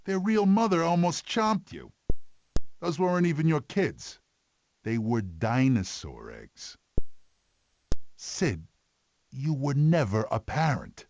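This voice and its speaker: An actor playing a dry, skeptical animal companion in an animated game, speaking in a measured, reproving way. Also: male voice, a little high, a little hiss or hum, exaggerated voice. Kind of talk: animated film tie-in game character dialogue.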